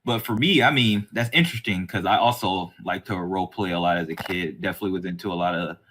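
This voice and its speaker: A man's voice: deep voice, fast talker, fairly bright tone, a little hiss or hum. A man hosting a podcast interview in a casual, conversational and slangy way. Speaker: podcast host.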